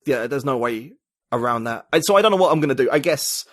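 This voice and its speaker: A man's voice: medium pitch, animated, fast, no room sound, studio quality. A man, a podcast guest talking reflectively and searching for his words in casual conversation.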